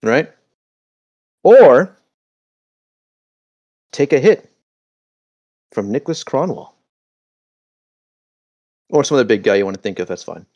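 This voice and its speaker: Podcast co-host, man, mid-pitched and animated, teasing and slangy, dry close mic. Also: slow, long pauses, dry recording, dull audio. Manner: playful, teasing, laughs often, slangy. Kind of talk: casual chat.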